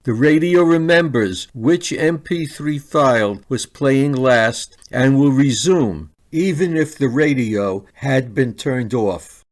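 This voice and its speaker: A man reading a scripted, step-by-step product review in a measured, instructional manner. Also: medium pitch.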